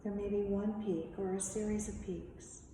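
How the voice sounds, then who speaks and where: A woman leading a guided meditation as a teacher, speaking in measured, instructive short phrases with long pauses. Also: medium pitch, steady tone, warm tone. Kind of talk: slow guided meditation narration. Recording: echoey reverberant room, distant mic, faint noise.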